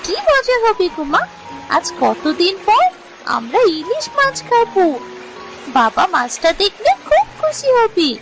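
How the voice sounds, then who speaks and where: A woman voicing an eager young village girl in a cartoon, excitable as she talks to her mother. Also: very high voice, measured pace, over loud music, full character voice, poor audio. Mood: excited. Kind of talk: cartoon voice acting.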